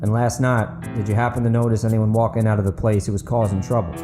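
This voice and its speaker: Audiobook role: self-assured small-town mayor playing detective, polite and official, lowish male voice, done by the narrator. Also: flat delivery, fast talker, music in the background, natural voice. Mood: polite, probing. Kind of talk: dramatic audiobook character acting.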